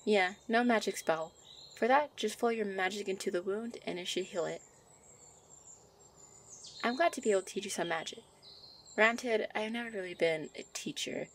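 A woman voicing a shy, gentle young nature fairy who teaches affectionately in a soft ASMR roleplay. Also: mid-range voice. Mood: affectionate, coaxing. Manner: soft, encouraging, affectionate, giggly. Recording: birdsong and outdoor ambience behind. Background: birdsong ambience.

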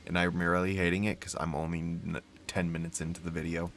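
A man with a slightly hoarse, failing voice, casually rambling as he plays and talks to himself and his creatures. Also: deep voice, level tone, fairly quick, a bit bright, slight background noise. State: losing his voice, sore throat. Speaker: gaming YouTuber. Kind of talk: casual gameplay commentary.

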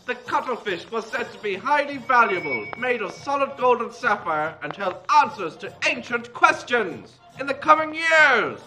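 A man's voice: extremely high pitch, sing-song, normal speed, hardly any pauses, rough recording. A man playing a theatrical announcer who narrates a cartoon adventure serial in a declamatory, old-time film style. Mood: dramatic. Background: loud music.

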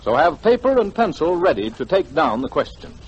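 A man's voice: fairly high pitch, sing-song, moderate pace, husky. A man announcing a radio programme in scripted 1940s style. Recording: dull, lo-fi 1940s radio recording.

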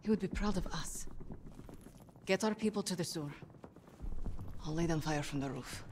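An actress playing a fierce, steely Soviet sniper with a Russian accent in a war game, resolute and fearless. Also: female voice, lowish voice, normal speed, slight background noise, natural voice. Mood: urgent resolve. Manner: defiant, taunting, resolute. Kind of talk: cinematic war game acting. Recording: loud film score behind.

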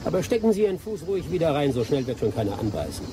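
A man's voice: a little high, big pitch swings, racing, background noise. An actor playing a seasoned, teasing male jungle adventurer with dry humour in a German radio drama.

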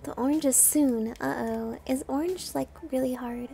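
A woman's voice: sits high, fairly even intonation, normal speed, gravelly, dark tone, slight background noise. A woman streamer giving casual, playful and self-deprecating commentary while she plays a video game.

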